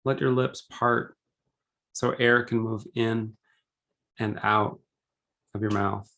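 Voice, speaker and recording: Male guided-meditation leader, lowish pitch with plenty of vocal fry, gentle and measured delivery with pauses between phrases. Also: fairly even intonation.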